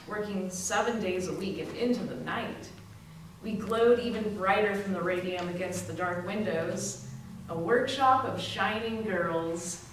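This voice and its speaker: A woman's voice: mid-range voice, lightly acted. A woman playing a merry teenage dial painter recalling her work in a readers theater monologue. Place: library readers theater performance, distant microphone. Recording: very echoey, distant mic, faint noise.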